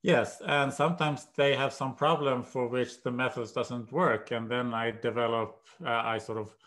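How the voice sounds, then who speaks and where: An older man with a Swedish accent answers questions on a video call from home. He speaks in a measured way, stopping often for "uh" as he searches for the right words. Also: medium pitch, fairly even intonation, fairly bright tone. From Sweden. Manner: measured, hesitant with many "uh"s. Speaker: guest professor. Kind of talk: conversational interview. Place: home room.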